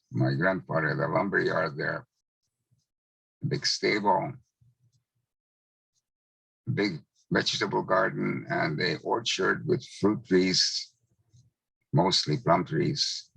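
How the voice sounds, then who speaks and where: Gravelly deep-voiced man in his nineties. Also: fairly bright tone, dry recording, poor audio. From Czechoslovakia. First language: Hungarian. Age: 90s. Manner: slow, measured recollection with pauses. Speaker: Holocaust survivor speaker. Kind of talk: testimony talk.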